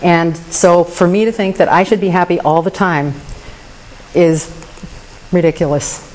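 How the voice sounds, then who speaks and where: A woman's voice: lowish voice, fairly even intonation, unhurried, darkish tone, slight background noise, low-quality recording. A woman speaking candidly and earnestly about her recovery, with touches of self-deprecating humor. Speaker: recovery meeting member. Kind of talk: personal recovery share.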